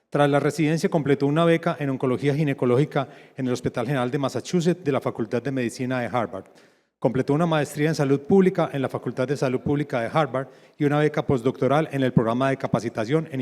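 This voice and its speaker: Brisk man introducing a speaker. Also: mid-range voice, a little mellow. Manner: brisk formal reading. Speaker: session moderator. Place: large conference hall. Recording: long hall reverb.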